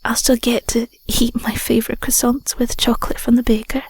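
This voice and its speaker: A woman voicing an affectionate, bashful young Scottish werewolf girlfriend in an intimate audio roleplay, soft, whispery and a little hesitant, close on the mic. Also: a little high, level tone, brisk, husky, dry recording. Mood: sincere, tender. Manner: hesitant, stammering, murmuring endearments. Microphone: close microphone.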